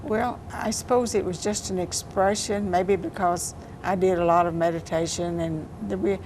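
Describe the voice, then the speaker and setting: An older woman speaks in a soft, unhurried way, giving plain answers about her writing. Her voice sits a little low. A clear hum of background noise runs under the recording. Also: steady tone. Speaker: interviewed poet. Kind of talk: casual interview answers. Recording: noisy background.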